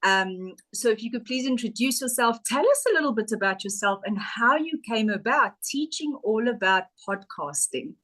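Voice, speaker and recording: A woman's voice: a little high, lively intonation, normal speed, hardly any pauses, fairly bright tone. Gushing woman podcast host. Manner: gushing, enthusiastic. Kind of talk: interview hosting.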